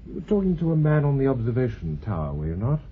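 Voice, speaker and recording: An actor playing an authoritative senior professor with a British accent, measured and probing like a tutor, in a radio drama. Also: male voice, medium pitch, very animated, slight background noise, close to an everyday voice. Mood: calm, brisk. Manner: measured, commanding, questioning like a tutor. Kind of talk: BBC radio drama acting. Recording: slightly dull radio drama recording.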